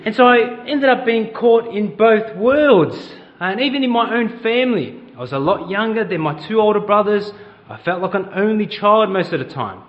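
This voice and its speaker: A man's voice: sits high, expressive, normal speed, muffled recording. A middle-aged man with an Australian accent, preaching a conversational sermon in church and asking the congregation questions. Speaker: pastor.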